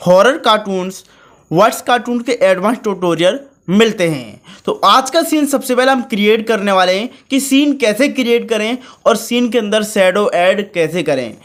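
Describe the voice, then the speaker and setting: A man's voice: sits high, moderate pace, a bit bright. A man hosting a how-to tutorial, talking chummily to viewers as friends while narrating his screen. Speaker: tutorial channel host. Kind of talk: screen-recorded tutorial commentary.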